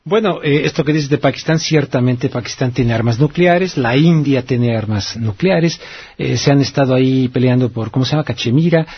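A man's voice: mid-range voice, moderate pace, husky, no echo, dull audio. A man with a Mexican accent hosting a radio call-in show, talking in a casual, colloquial, rambling and reflective way. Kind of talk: casual conversational radio commentary.

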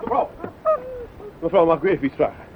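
An actor playing a courteous, probing private detective who asks questions persistently in a radio play. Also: male voice, on the high side, wildly swooping pitch, fast. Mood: politely probing. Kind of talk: Dutch radio play acting. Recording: tinny, narrow-band noisy old radio recording.

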